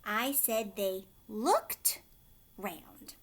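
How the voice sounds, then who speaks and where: A woman voicing an exuberant, brisk, teasing male candy-factory owner in a storybook, with an emphatic, insistent manner. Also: medium pitch, animated, exaggerated voice.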